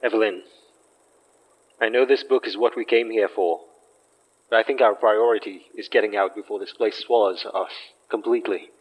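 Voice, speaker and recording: A man's voice: fairly low pitch, fairly even intonation, normal speed, husky. Man acting a wry boss, slightly muffled. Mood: wary. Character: wry, protective male boss of paranormal investigators. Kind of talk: horror audio drama acting.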